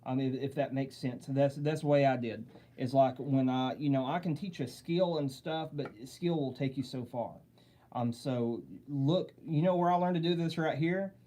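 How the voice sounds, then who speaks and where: A man's voice: mid-range voice, moderate pace, dark tone. Southern man chatting on a livestream. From southern United States. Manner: rambling, earnest, repeats himself, friendly address. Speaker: wood carving channel host. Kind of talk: live-stream Q&A chat. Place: home workshop with lights.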